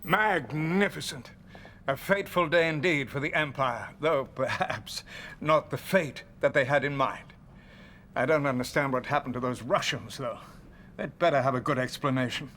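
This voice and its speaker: An actor playing a flustered, pompous older wartime leader in a theatrical, exclamatory way for a video game. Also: male voice, mid-range voice, moderate pace, bright, forceful tone, a little hiss or hum, natural voice. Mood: satisfied, grandiose. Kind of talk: video game cutscene acting.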